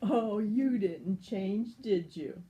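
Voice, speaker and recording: An older woman, a grandmother, reading a picture book aloud to children in a playful, animated way. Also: medium pitch, very slow. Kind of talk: storybook read-aloud.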